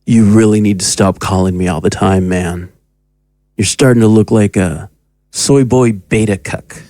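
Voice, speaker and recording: A man's voice: fairly low pitch, steady tone, unhurried, warm tone, no echo, studio quality, natural voice. An actor playing a domineering, cruel occult magus who talks down to his pupil in an audio drama. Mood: scornful. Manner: condescending, commanding. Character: sinister, domineering male occult magus, cruel and mocking mentor.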